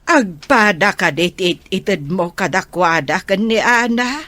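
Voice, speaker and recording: A woman's voice: medium pitch, lively intonation, a little slow, fairly bright tone, dry recording. An actress playing a frank older mother who admonishes her grown daughter, in an Ilocano radio drama. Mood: questioning.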